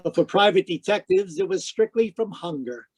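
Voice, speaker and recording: A man playing a wisecracking private detective who narrates deadpan in 1940s radio drama style. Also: high-pitched, moderate pace, slight background noise. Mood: wry narrating. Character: wry wisecracking male private detective narrating his case. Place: live video-call performance, voice close and dry.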